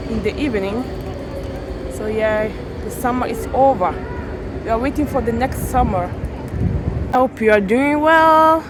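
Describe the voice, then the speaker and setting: A woman vlogger chatting casually while walking outdoors through a city center. Her English is non-native and halting, with frequent restarts. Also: high-pitched, very noisy. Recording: music playing under the voice. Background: background music.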